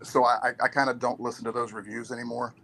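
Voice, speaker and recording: A man appearing as a guest on a casual chat show, speaking in a measured, even-tempered way. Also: medium pitch.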